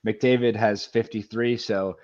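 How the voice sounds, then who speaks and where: A man with a fairly low voice chats casually about hockey as a podcast co-host. He is blunt and opinionated, and the sound is a little dull and lo-fi. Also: fairly even intonation, unhurried, a crowd in the background. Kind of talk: casual hockey fan chat.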